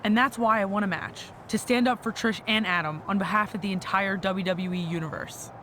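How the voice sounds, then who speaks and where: A woman's voice: medium pitch, brisk, fairly bright tone, slight background noise, lightly acted. An actor playing a smug, condescending, scheming female wrestling general manager in a video game cutscene. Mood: self-righteous.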